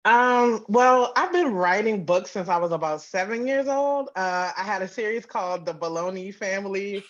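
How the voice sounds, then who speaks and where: A woman's voice: medium pitch, lively intonation, moderate pace. An American woman from Virginia answering interview questions conversationally as a podcast guest. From Virginia, United States. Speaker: podcast guest, audio drama creator. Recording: slightly dull, lo-fi call audio.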